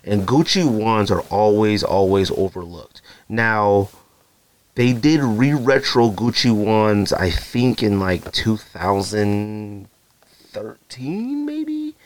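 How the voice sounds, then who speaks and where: A man's voice: fairly low pitch, very animated, slow, rough recording. A middle-aged man hosting a podcast, casually rambling with lots of ums and you-knows, self-deprecating. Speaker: podcast host. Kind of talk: casual solo sneaker show-and-tell.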